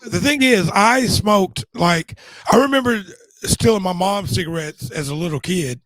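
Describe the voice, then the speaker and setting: A man's voice: sits high, normal speed, a bit bright, no echo, rough recording. A middle-aged man losing his voice, chatting casually as a show host and rambling through his stories with many restarts. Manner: rambling, frequent restarts and fillers. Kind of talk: casual chat.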